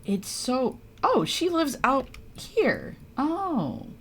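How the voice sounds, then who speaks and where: A woman's voice: sits high, huge pitch swings, very slow, a little hiss or hum. A woman game streamer giving casual live commentary, offhand and half talking to herself. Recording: game music behind the voice.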